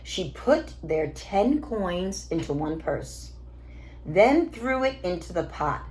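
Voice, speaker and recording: A woman reading a children's storybook aloud as a teacher, in an expressive narrating style. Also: fairly low pitch, slow.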